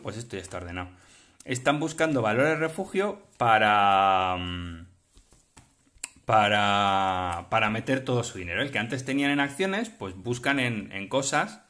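A man with a Spain Spanish accent chatting off the cuff as a collector explaining things, halting and rambling as he checks in with listeners. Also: mid-range voice, fairly bright tone. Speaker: vlogger and card collector. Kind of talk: casual off-the-cuff explanatory chat. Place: indoors at a table, handling cards.

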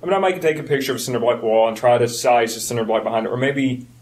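A man's voice: medium pitch, rapid-fire, darkish tone, husky. A man chatting casually as a podcast co-host. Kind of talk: casual chat.

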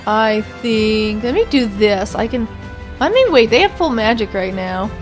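A woman's voice: on the high side, expressive, measured pace. A young woman giving casual gameplay commentary, chatty and talking at the characters, her voice a little off from allergies. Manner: chatty, talks to the game characters, mild exclamations. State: voice slightly off from allergies. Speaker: let's play gamer. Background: game music.

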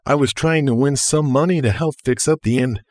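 A man voicing a chubby, cheeky younger brother in an audiobook, talking in a breezy, excitable way. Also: mid-range voice, brisk, dry recording, clean studio sound, lightly acted.

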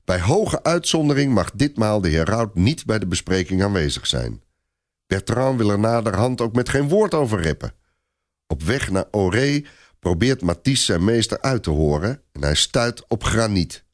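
A man narrating a dramatic historical story for a radio play in an expressive storytelling manner. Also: on the low side, normal speed.

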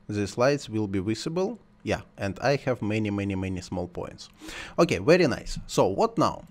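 A man whose first language is Russian or Ukrainian, talking through a screen-recorded software tutorial while he works, in simple, halting English. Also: fairly low pitch. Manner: thinks aloud while working, simple non-native phrasing.

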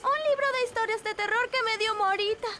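A woman's voice: extremely high pitch, fairly quick, heavy background noise. A woman voicing a timid, sweet young girl who spooks easily, in a children's cartoon dub. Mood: nervous. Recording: children's music behind the voice.

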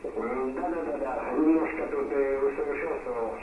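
A man's voice: mid-range voice, steady tone, normal speed, a loud crowd. A man talking as an amateur radio operator, heard over a shortwave radio link. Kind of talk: ham radio contact. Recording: very noisy radio reception, echoey, distant.

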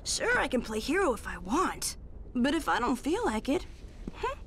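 Flirty thrill-seeking thief, lively swooping delivery. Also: female voice, high-pitched, normal speed, slight background noise, exaggerated voice. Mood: teasing. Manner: teasing, sultry, taunting. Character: flirty, teasing female thief, carefree thrill-seeker. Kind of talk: video game voice acting.

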